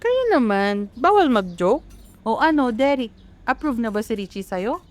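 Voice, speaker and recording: A woman's voice: a little high, big pitch swings, normal speed. A woman with a Filipino accent playing a chatty, teasing middle-aged mother of three in an amateur radio drama. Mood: playful, nostalgic.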